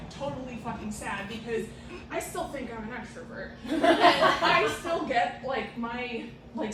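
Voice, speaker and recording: A woman's voice: a little high, background noise. A woman whose first language is Romanian tells a comic story on stage, rambling, swearing and laughing at herself, recorded from a distant mic in an echoey live room. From Romanian family. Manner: rambling, swearing, self-mocking, laughing often. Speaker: comedic storyteller. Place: live performance venue with audience.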